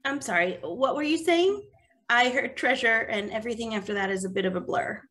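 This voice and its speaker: A woman playing a treasure-loving, flippant adventurer with a casual, wry way of talking in an animated fantasy table read. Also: fairly high pitch, a little fast. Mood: distracted.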